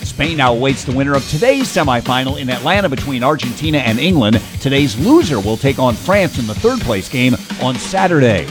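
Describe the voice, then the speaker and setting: A man's voice: medium pitch, sing-song, fairly quick, few pauses, music in the background. A man reading a sports news update in an announcer's style. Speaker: newsreader.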